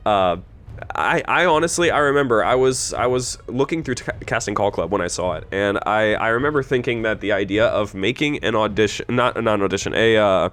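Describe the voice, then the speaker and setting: A young adult man, producer of an audio drama, chatting casually, halting with many restarts and getting eager as he talks. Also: on the low side, expressive, a bit bright, clean tone. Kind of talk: casual commentary chat.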